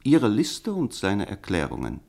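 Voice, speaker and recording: A man's voice: on the low side, wildly swooping pitch, measured pace, vocal fry, a little mellow, heavily acted. An actor playing a worldly, cynical male intellectual in a radio drama, lecturing his friend with irony and needling rhetorical questions. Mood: ironic, needling.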